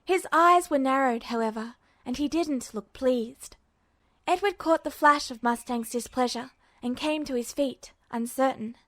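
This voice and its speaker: A woman reading fan fiction aloud as a podfic, with even, attentive storytelling narration. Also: high voice, a little slow, no echo. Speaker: podfic reader.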